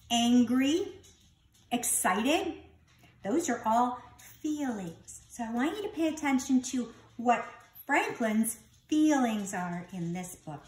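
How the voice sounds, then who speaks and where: A woman reading a picture book aloud to young children in an expressive, instructive teacher's way, asking questions and repeating key words. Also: a little high.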